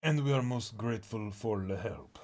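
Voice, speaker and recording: A man's voice: fairly low pitch, normal speed, darkish tone, natural voice. A man voicing a pompous male knight who drops in French words, reading formally with some stumbles.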